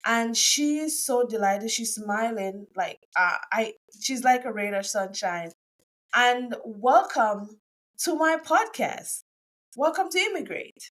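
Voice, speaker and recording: A woman's voice: a little high, expressive, slow pace, a bit bright. A woman hosting a podcast interview, chatty and effusive, often trailing off mid-sentence. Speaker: podcast host. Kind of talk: casual interview chat.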